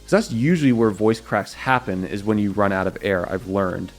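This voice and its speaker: A young man with a slight natural rasp and a lowish voice chats casually with his stream audience. He rambles loosely, full of fillers and restarts. Soft music plays faintly behind him. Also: normal speed. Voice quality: slightly raspy. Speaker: streamer answering viewer questions. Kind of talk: casual livestream Q&A chat.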